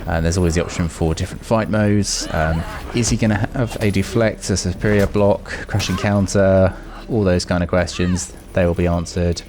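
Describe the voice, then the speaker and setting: A man's voice: low-pitched, steady tone, moderate pace, hardly any pauses. A man hosting a gaming video, talking in a casual, chatty, rambling way as he gives his commentary. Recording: noisy with clear background noise.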